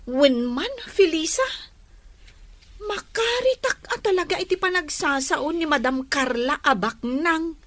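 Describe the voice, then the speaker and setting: An actress with an Ilocano accent playing a plucky young student and loyal friend in a radio drama. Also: female voice, high-pitched, lively intonation, measured pace, a bit bright, no echo. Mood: spirited. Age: young adult.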